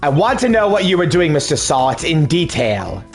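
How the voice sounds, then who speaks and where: A man voicing a composed, formal male prosecutor who questions a suspect in precise, measured phrases. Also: on the high side, big pitch swings, gravelly, faint music behind, voice pushed down. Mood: probing. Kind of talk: fan dub of a video game.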